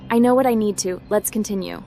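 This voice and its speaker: A woman's voice: mid-range voice, quick pace, vocal fry, dark tone, a little hiss or hum, lightly acted. A woman playing a polite, curious female cyber runner as a game protagonist. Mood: polite, thoughtful. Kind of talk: scripted game protagonist dialogue.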